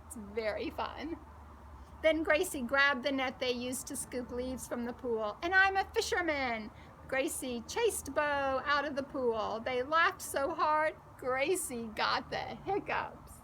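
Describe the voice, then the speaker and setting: Slow, high-voiced woman reading to children. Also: a little hiss or hum, low-quality recording. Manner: gentle, encouraging, addressing young children directly. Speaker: children's storyteller. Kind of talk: animated storybook read-aloud with questions to young children.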